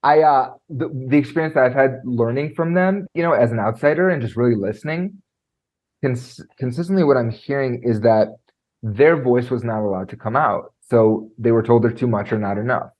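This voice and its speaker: A man coaching on presentation skills, talking in an animated way and filling his speech with "like" and "right?"; he has a trained high singing voice. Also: normal speed, muffled recording. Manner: animated, lots of fillers like "like" and "right?". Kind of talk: conversational podcast interview.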